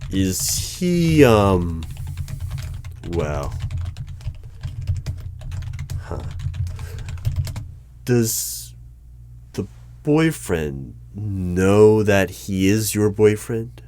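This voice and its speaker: Male performer as cautious bureau hiring manager, ASMR roleplay. Deep, slow, hesitant, dryly polite. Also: a little hiss or hum, heavily acted. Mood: hesitant, wary. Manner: hesitant, deadpan, measured. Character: wary, polite hiring manager of a secret bureau interviewing a dragon. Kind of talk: one-sided ASMR interview roleplay. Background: computer keyboard typing and clicks.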